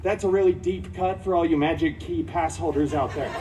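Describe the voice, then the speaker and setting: A man's voice: fairly high pitch, a little fast, noisy background, close to an everyday voice. A man playing a campy, wisecracking antihero who tells a fairy tale melodramatically, with snarky asides, at a live theme park show. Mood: indignant, campy. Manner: melodramatic, chatty, breaking into snarky asides to crowd. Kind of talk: theatrical live children's storytelling parody. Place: outdoor theme park stage. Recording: amplified live show over loud background music.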